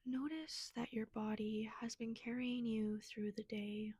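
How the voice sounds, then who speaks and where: A woman leading a guided meditation in a gentle, soothing, soft and breathy manner. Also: a little high, fairly even intonation, a little slow, dry recording.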